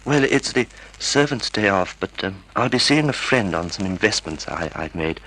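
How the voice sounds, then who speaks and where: An actor playing a timid, polite, half-blind older husband who hesitates and stammers, in an old-time radio drama. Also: male voice, fairly low pitch, animated, normal speed, pushed and bright, low-quality recording. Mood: polite, hesitant. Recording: vintage radio drama recording.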